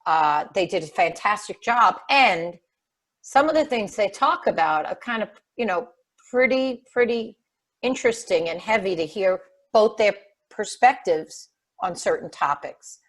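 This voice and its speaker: A woman speaks as a panelist over a video call at a middle pitch. She talks earnestly and casually, with some fillers. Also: animated, a little slow. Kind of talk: webinar panel discussion.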